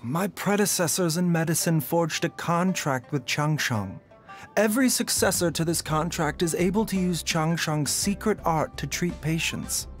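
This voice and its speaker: Gentle male physician, played by a man, anime video game. Courteous, measured, soft-spoken, light music underneath. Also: a little high, close to an everyday voice. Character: gentle, composed male doctor of herbal medicine.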